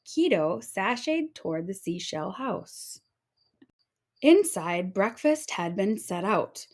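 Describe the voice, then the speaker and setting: Slow, animated woman storyteller. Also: medium pitch. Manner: expressive storytelling. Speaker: storybook reader. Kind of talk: children's read-aloud narration.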